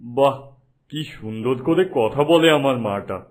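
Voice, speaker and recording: A man's voice: medium pitch, expressive, unhurried, natural voice. A man voicing a kindly older king in a cartoon who speaks to his son in a fatherly way. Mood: fond. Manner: warm fatherly, commanding to servants. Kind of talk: Bengali cartoon dubbing.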